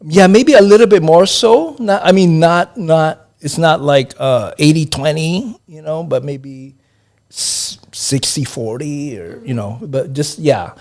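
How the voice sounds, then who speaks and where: A middle-aged man from Hawaii, a guest in an interview, talking in a relaxed, hesitant way with many fillers and restarts. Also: a little high, a little slow. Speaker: interview guest, accounting professor.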